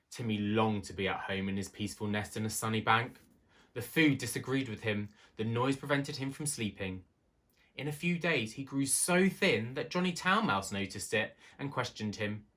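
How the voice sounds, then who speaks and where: A man reading a children's tale aloud as an expressive storybook narrator. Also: fairly low pitch. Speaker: story reader.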